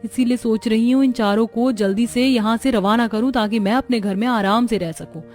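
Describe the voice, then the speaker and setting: A woman voicing a resentful, sharp-tongued young married sister-in-law in a cartoon story, in a complaining and scolding manner. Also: a little high, steady tone, normal speed, lightly acted. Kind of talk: Hindi cartoon story dialogue. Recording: music behind the voice.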